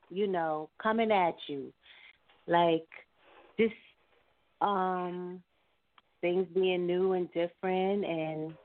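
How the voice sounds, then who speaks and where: A woman's voice: medium pitch, no room sound. A woman singer-songwriter chatting casually as the guest in a radio interview. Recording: narrow, tinny phone-like line.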